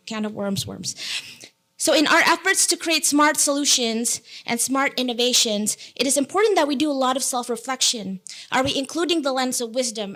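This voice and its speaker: A woman's voice: a little high, fairly bright tone. A middle-aged woman whose first language is Indonesian, giving a conference keynote talk in an earnest, emphatic way with playful asides. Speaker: keynote speaker.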